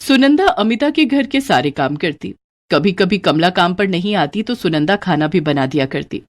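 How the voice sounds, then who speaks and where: A woman narrating a scripted cartoon storybook in an expressive storytelling manner. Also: on the low side, moderate pace.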